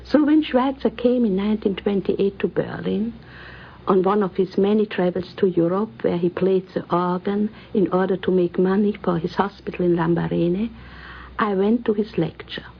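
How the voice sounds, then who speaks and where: A woman interviewee recalling her past in careful, halting phrases, on a muffled 1950s radio recording. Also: mid-range voice, vocal fry, husky. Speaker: interviewee, music professor. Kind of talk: personal reminiscence for radio documentary.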